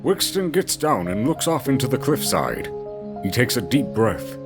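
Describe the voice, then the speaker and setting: Animated male story narrator over music. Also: medium pitch, moderate pace. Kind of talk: dramatic scripted story narration.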